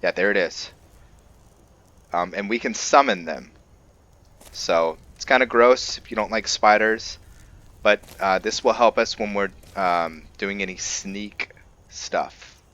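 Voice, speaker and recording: A man's voice: lowish voice, slow, pauses often, a bit bright, lo-fi sound. A man doing casual let's-play roleplay commentary, musing to himself a little hesitantly over game ambience. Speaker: gaming video host and player.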